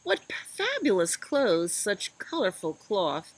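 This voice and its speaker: A woman hosting a children's storytime, reading aloud in an expressive, sing-song way and talking directly to young listeners. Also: medium pitch, slow pace, rough recording. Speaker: children's librarian, storytime host.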